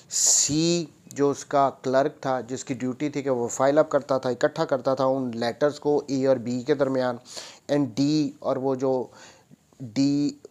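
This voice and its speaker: Slow-paced Pakistani man giving a lecture. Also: mid-range voice, darkish tone. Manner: deliberate, repetitive step-by-step explaining. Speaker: law lecturer. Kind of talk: explanatory lecture, reading English text aloud then explaining.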